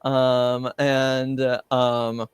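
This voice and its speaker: A man improvising narration as game master of a tabletop roleplaying game, halting as he searches for words. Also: mid-range voice, monotone, smooth, no echo, clean studio sound.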